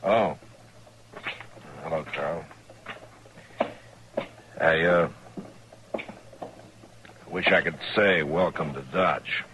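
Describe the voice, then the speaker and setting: An actor playing a weary, steady American marshal, laconic and calm, in an old-time radio western. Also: male voice, extremely low pitch, drawn-out words, long pauses, dull audio, full character voice. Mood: grave. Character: weary, steady male Old West marshal keeping order. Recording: noisy old radio recording.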